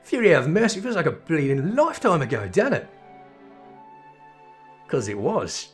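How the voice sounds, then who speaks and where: A man's voice: on the high side, huge pitch swings, moderate pace, soft background music, voice pushed up. A man putting on a blunt, streetwise slum woman. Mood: reflective, blunt. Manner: colloquial, salty working-class British phrasing. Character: blunt, streetwise slum woman leading commoners, plain-spoken.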